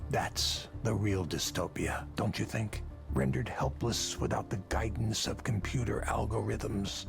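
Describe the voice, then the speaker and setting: An actor playing a jaded, whiskey-drinking tech visionary in a visual novel, speechifying persuasively in a ragged, creaky voice. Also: male voice, medium pitch, exaggerated voice. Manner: speechifying, persuasive, world-weary. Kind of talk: dramatic visual novel voice acting.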